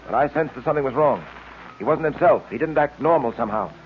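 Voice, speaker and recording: An actor playing a shrewd, authoritative police detective with a husky voice and clipped, firm delivery in an old radio drama. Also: male voice, medium pitch, animated, quick pace, a little hiss or hum, lightly acted. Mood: firm, confident. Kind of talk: 1940s radio drama acting. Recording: tinny, band-limited old radio recording.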